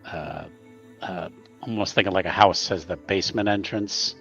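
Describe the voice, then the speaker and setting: A man playing a tabletop roleplaying game, chatting casually and haltingly with repeated words. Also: low voice, fairly even intonation, a bit bright, dull audio, soft background music. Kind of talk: casual chat.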